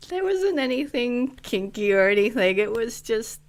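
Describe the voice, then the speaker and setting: A woman's voice: fairly high pitch, big pitch swings, normal speed. A woman from Singapore, a podcast guest, talking in a goofy, self-mocking way. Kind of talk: casual interview talk.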